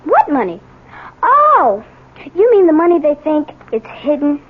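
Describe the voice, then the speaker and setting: A woman's voice: sits high, huge pitch swings, unhurried, full character voice. A woman playing an earnest, kind-hearted schoolboy son in a radio drama, eager and reassuring. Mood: earnest pleading. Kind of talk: 1970s radio drama acting. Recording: muffled, narrow old radio broadcast.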